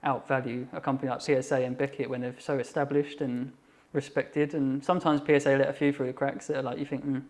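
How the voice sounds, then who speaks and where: A man's voice: mid-range voice, little pitch movement, a little mellow. A man, chatting casually as a guest, rambling with lots of fillers. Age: 30s. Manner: rambling, filler-heavy, quick. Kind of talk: casual chat.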